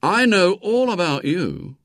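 A man's voice: medium pitch, big pitch swings, normal speed, a bit bright, dry recording, clean studio sound, voice pushed up. A man voicing a small, self-important boy who fancies himself a spy, in a storybook reading. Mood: darkly boastful. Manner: darkly boastful, self-important. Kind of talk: acted dialogue in storybook reading.

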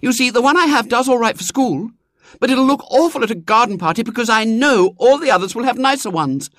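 A man voicing an imperious little girl in a storybook reading, high and commanding. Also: expressive, fairly quick, a bit bright, no room sound, studio quality. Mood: plaintive.

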